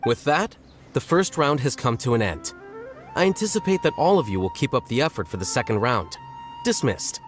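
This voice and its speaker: A man's voice: mid-range voice, sing-song, moderate pace, soft background music, close to an everyday voice. A man voicing an aloof, sardonic scholar presiding over a contest in a video game, dry and formal. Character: aloof young male scholar-judge, dry, sardonic, unyielding.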